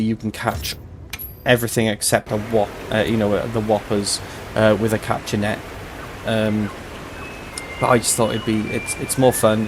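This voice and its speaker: A man hosting a casual game walkthrough, his voice a little hoarse and tired, explaining things in a chatty, rambling way. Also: fairly low pitch, noisy background. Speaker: host of a gaming channel.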